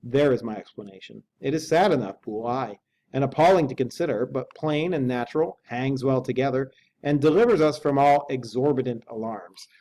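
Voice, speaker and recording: A man voicing a measured middle-aged lawyer who speaks slowly and formally. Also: mid-range voice, warm tone, slight background noise, close to an everyday voice, poor audio. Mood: reasoning. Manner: slow, deliberate, formal.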